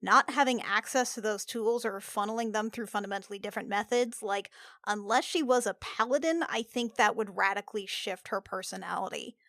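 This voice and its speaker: A woman podcaster in thoughtful unscripted discussion, haltingly thinking aloud as she explains. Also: medium pitch, fairly bright tone, dry recording, studio quality. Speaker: podcast cast member and player.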